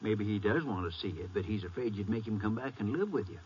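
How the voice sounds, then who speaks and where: An actor playing a practical Western sheriff with an American accent and a folksy, matter-of-fact delivery in a 1950s radio drama. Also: male voice, fairly low pitch, fast, a little mellow, breathy edge. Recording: muffled, noisy vintage radio recording.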